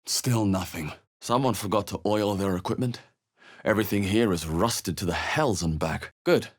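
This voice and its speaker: A man acts out a male fantasy game hero on the road. He is wary and level-headed, speaking in short scripted lines. His delivery is measured and earnest, with a theatrical edge. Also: on the low side, close to an everyday voice. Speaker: video game player character. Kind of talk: scripted game voice lines, short exploration remarks and spell chants.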